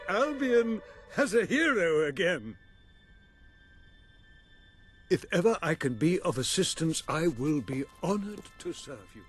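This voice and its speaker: An actor playing a bookish, excitable scholar in a video game, with an earnest, fussy and formal manner. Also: male voice, on the high side. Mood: overjoyed. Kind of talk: dramatic video game cutscene acting.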